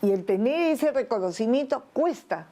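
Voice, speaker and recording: A woman's voice: a little high, expressive, moderate pace, dark tone. A woman who teaches Quechua, answering interview questions in a reflective, explanatory way with hesitant restarts. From Peru. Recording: light music underneath.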